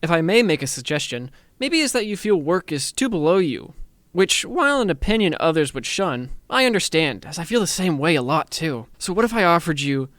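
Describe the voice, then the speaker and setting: A man's voice: fairly high pitch, big pitch swings, fairly quick, fairly bright tone, no room sound, studio quality, voice pushed up. A man playing a smug, teasing, intimidating office boss who talks in a chatty, self-satisfied way in an ASMR roleplay. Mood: pleased, coaxing.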